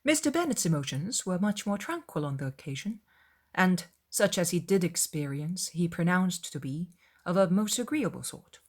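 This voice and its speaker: Female audiobook narrator, lower register, measured and expressive delivery for a classic period novel. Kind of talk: dramatized literary narration.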